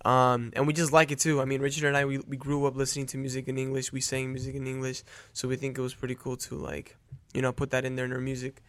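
Young man, 20, guest, smooth clear voice, flat level delivery, hesitant ums, office studio. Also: mid-range voice, fast, hardly any pauses, no echo. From United States. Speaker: boy band member, guest. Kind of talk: casual interview answers.

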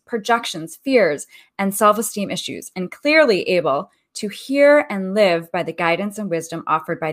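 A woman hosts a talk radio show in a friendly, upbeat way. Her voice sits in an ordinary middle pitch. She reads an introduction smoothly before moving into questions. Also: a bit bright. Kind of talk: interview host reading a guest bio.

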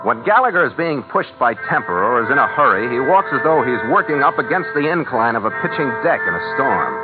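Male actor as hard-boiled American skipper, wry log narration, clipped and dry, 1940s radio drama, thin phone-like sound, loud music behind. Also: mid-range voice, sing-song, fairly quick, lightly acted. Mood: wry, storytelling.

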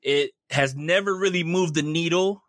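A man's voice: fairly high pitch, moderate pace, background noise. A man co-hosting a casual sports podcast, talking in an easygoing, friendly way. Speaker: podcast co-host.

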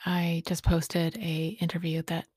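A woman in her late forties giving a reflective personal monologue as a podcast host, speaking haltingly in short phrases with a breathy, husky voice. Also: lowish voice, completely flat delivery, darkish tone, no echo, clean studio sound. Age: late 40s.

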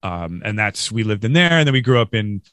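A man's voice: lowish voice, big pitch swings, a little fast, a bit bright, smooth, no echo, studio quality. A man from Western New York, chatting as a podcast guest in a rambling, self-deprecating way with frequent laughs. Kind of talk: casual interview chat.

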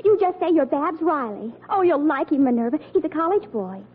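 An earnest, girlish American teenager from a 1940s radio sitcom. She speaks very high, with lively rises and falls and emphatic exasperation. The recording is tinny and narrow. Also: fairly quick. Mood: urgent, persuasive.

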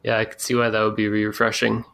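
Male interviewer, gravelly, asks probing follow-ups, casual. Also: on the low side, monotone, fast, fairly bright tone. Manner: plain, probing follow-up questions with restarts.

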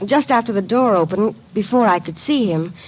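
A woman's voice: mid-range voice, animated, moderate pace, dull audio, lightly acted. An actress in an old-time radio drama playing a young lady witness, sweet but evasive. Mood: explaining. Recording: slightly noisy vintage radio recording.

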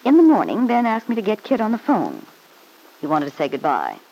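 An actress playing a sly, spiteful middle-aged mother-in-law in an old radio serial, insinuating and quick to justify herself. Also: mid-range voice, huge pitch swings, warm tone. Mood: insinuating, confiding. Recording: noisy old radio broadcast recording.

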